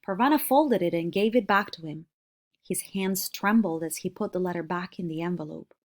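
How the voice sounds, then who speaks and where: A woman's voice: fairly low pitch, moderate pace. Woman reading a storybook aloud. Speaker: reader of a children's novel. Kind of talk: read-aloud storybook narration.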